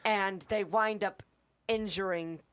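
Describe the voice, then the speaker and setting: A woman's voice: medium pitch, steady tone, slow, a bit bright, no echo. A woman giving her opinions as a co-host in a casual review chat.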